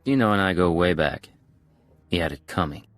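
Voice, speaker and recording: An actor playing a cool, self-assured secret agent in a video game, speaking tersely and smoothly. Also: male voice, low-pitched, lively intonation, moderate pace, faint music behind. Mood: cool, flirtatious. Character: cool, confident male secret agent posing as a pirate.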